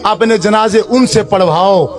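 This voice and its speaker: High-pitched middle-aged man preaching. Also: a little slow, soft background music. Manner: exhorting, repetitive, rhetorical. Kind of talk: impassioned sermon with recited prayers.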